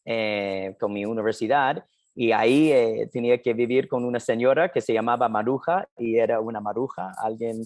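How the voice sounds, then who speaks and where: A man's voice: medium pitch, fairly even intonation, moderate pace, darkish tone, no room sound. A man from New Jersey whose first language is English, speaking Spanish with an American accent, a hesitant guest talking in a casual interview, full of fillers. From New Jersey, United States. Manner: hesitant, many "eh" fillers and restarts. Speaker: guest, documentary filmmaker.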